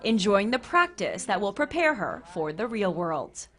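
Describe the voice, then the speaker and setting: A woman's voice: mid-range voice, very animated, measured pace, fairly bright tone. An American woman reading a scripted television news report as a correspondent.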